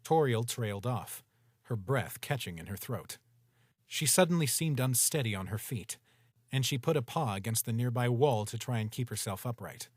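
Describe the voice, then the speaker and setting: A man's voice: mid-range voice, lively intonation, moderate pace, husky, no echo. Man narrating a story, dry sound.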